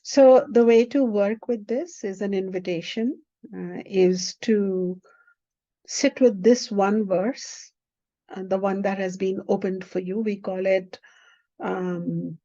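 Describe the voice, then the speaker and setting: A woman whose first language is Hindi, teaching a spiritual class at a very slow, deliberate pace. Her voice is warm and mellow. She keeps checking that the listener follows, and the recording is dry and a little dull and lo-fi. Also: medium pitch, steady tone, no echo. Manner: unhurried, often checks in with the listener.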